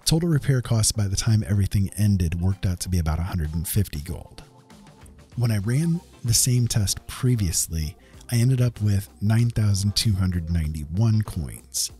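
A man with a fairly low voice narrates a gaming video. He explains things step by step in a semi-scripted voice-over style. Faint music plays behind him. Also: animated, normal speed, mellow. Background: background music.

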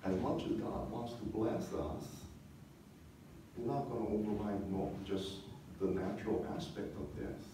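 Echoey, distant male preacher with low voice. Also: measured pace. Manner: conversational teaching with check-in questions and repetition. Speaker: pastor. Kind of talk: sermon. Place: church sanctuary, speaking from the stage. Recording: echoey church hall, distant mic, faint noise.

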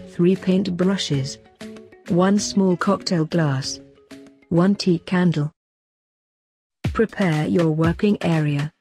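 A woman reading scripted instructional narration in a measured, step-by-step way. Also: fairly low pitch, a little mellow. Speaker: host and narrator of a craft tutorial. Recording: voice over an instrumental music bed. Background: instrumental pop music.

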